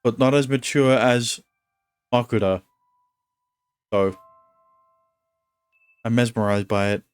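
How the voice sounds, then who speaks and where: A man's voice: medium pitch, slow pace, clean tone, soft background music. Man reading a visual novel aloud. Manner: casual, reads narration in a steady tone then chats offhand. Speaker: streamer.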